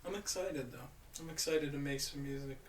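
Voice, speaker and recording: A young man with a mid-range voice, speaking in a relaxed and slangy way as a podcast guest. He sounds easygoing and unhurried in his delivery. His voice carries a roomy echo from the studio. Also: a little hiss or hum. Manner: laid-back and low-key, slangy. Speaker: guest musician. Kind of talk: casual chat. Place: home studio.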